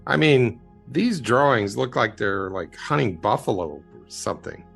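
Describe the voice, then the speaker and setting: An actor playing a skeptical, plain-spoken American man in a radio drama, blunt and doubting, with a gravelly, husky voice. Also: mid-range voice, expressive, normal speed, fairly bright tone, soft background music, full character voice.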